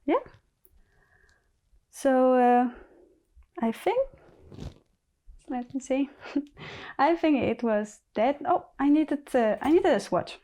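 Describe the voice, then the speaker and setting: A woman with a Polish accent, a native Polish speaker, hosting a knitting podcast in casual chat, thinking aloud and halting with many pauses. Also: high-pitched, animated, mellow. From Poland.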